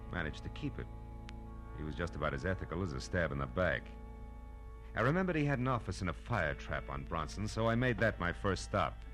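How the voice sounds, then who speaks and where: An actor playing a tough, wry American private detective in a vintage radio drama, clipped and sardonic. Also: male voice, extremely low pitch. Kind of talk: 1940s hard-boiled radio narration and acting. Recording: vintage radio recording with music.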